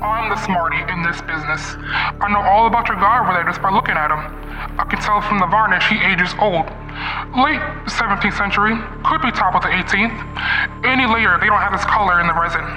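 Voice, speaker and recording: A man acting a shady, domineering, fast-talking instrument dealer in an old-time radio mystery, boastful and slangy. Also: high-pitched, close to an everyday voice. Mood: boastful, wary. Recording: roomy, distant, music underneath.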